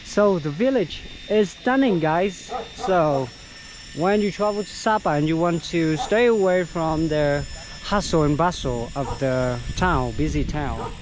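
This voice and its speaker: Slow-talking man guiding an outdoor walk. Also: fairly high pitch, a crowd in the background, poor audio. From Vietnam. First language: Vietnamese. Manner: unhurried, halting, with frequent fillers. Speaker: local tour guide. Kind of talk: casual walking vlog narration. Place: outdoors on countryside trails.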